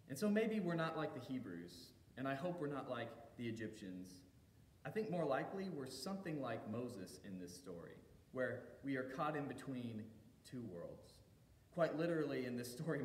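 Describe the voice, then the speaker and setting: A man delivering a sermon as a pastor, telling the story in measured phrases with deliberate pauses and emphasis. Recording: echoey hall reverb, faint low rumble.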